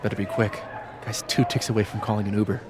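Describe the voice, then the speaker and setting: A man's voice: lowish voice, level tone, fast, slight background noise, close to an everyday voice. A man playing a wry, urgent colleague in video game dialogue. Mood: wry urgency.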